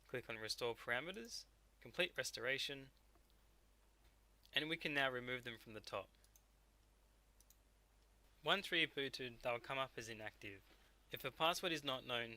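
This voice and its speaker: A man narrating a software tutorial step by step as a technical instructor. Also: mid-range voice.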